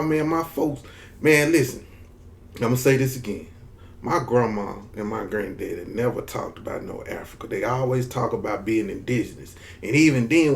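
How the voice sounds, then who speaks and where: A man from Mississippi with a Southern drawl, giving emphatic, repetitive opinion commentary straight to his viewers. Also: medium pitch. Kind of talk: casual opinion commentary to camera.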